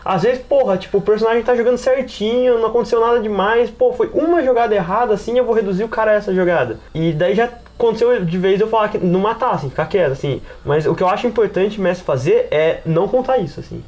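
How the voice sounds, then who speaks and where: A man's voice: high-pitched, fast talker, few pauses, a little mellow. A man with a Brazilian accent in a casual podcast discussion, explaining things in a rambling, slangy way. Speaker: podcast panelist and game master.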